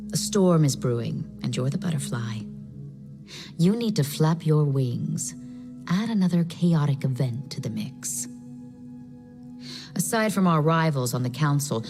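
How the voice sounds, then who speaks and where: A cryptic, calm leader of agents of chaos speaks low and measured, often dropping to a whisper. Her voice rings in a long reverb. Game music plays clearly behind her. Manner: soft, measured, slips into whispers.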